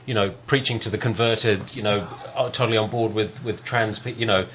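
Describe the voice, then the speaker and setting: A man's voice: fairly low pitch, fairly even intonation, a little fast, a bit bright, a little hiss or hum, low-quality recording. A man hosting an interview, asking long, searching questions and circling back as he talks. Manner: rambling, searching questions with many restarts. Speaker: interviewer host. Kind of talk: casual interview chat.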